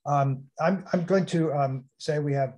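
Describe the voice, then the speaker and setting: Mellow-voiced male panel moderator. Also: on the high side. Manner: halting, restarts sentences often. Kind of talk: hosting an online panel session.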